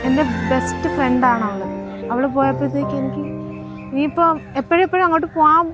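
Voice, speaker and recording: Soft-spoken young woman, loud music behind. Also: high-pitched, fast talker. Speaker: bride's best friend. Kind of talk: casual on-camera interview.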